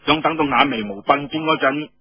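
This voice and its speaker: A man's voice: a little high, a little fast, husky, no echo, lo-fi sound. A man voicing a pert, jealous young woman soldier in a storytelling broadcast, teasing and needling in colloquial speech.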